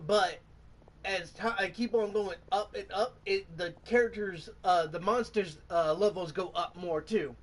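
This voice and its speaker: A man hosting a gaming channel, giving casual, halting commentary and often groping for words. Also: sits high. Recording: voice distant from the mic.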